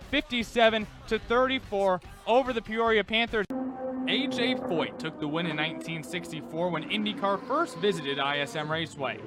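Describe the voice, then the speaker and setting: A man reporting sports recaps in an emphatic, announcer-like broadcast delivery. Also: high-pitched.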